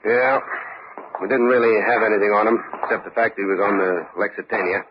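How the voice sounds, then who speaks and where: An actor playing a steady, businesslike police lieutenant in a vintage radio mystery, laconic and matter-of-fact. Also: male voice, on the low side, fairly quick, lightly acted. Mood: measured, businesslike. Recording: dull, noisy old radio recording.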